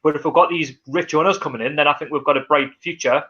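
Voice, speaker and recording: A man's voice: fairly high pitch, steady tone, quick pace, bright, forceful tone, highs cut off. A man with a Geordie accent hosting a casual live stream, reading out viewer comments and reacting with lots of fillers. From North East England. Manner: reads viewer comments aloud and reacts, chatty with many fillers. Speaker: livestream host.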